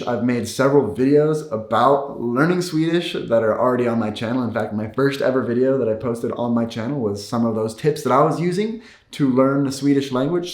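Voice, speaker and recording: Man, native English speaker, mid-pitched voice, casual explanatory video host, emphatic repetition, echoey room. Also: darkish tone. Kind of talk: casual explanatory talk to camera.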